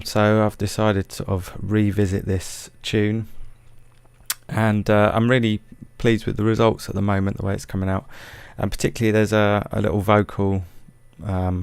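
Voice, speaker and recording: Low, smooth-voiced man giving a tutorial. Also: a little mellow, no room sound. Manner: hesitant, self-deprecating. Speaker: music production tutorial host. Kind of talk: casual screen tutorial narration.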